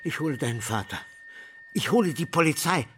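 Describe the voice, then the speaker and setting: A stern male headmaster in a stage drama over light ambient noise. He is curt, cutting and dismissive, with a voice a touch on the high side. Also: expressive, fairly quick, a bit bright. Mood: alarmed, stern.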